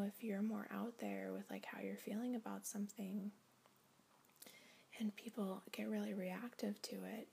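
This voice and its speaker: A woman doing a caring-friend ASMR role-play, speaking gently and soothingly in soft, breathy whispers. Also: mid-range voice, slight background noise.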